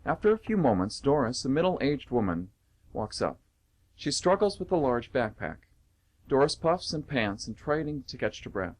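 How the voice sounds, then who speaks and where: Animated male radio play narrator. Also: medium pitch, moderate pace. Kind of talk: scripted stage-direction narration.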